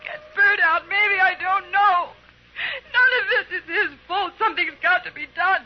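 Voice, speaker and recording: An actress playing an emotional, earnest blacksmith's wife on the frontier in an old radio melodrama. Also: female voice, very high voice, fairly even intonation, moderate pace, soft background music. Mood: distraught, pleading. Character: desperate, pleading blacksmith's wife, grown woman. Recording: dull, noisy vintage radio recording.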